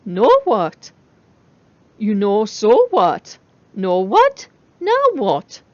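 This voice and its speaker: A woman's voice: medium pitch, huge pitch swings, extremely slow, no echo, heavily acted. Woman as robot face in an audiobook, clipped nonsense questions, muffled lo-fi sound. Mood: clipped, questioning. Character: pair of small talking robot faces, clipped and nonsensical.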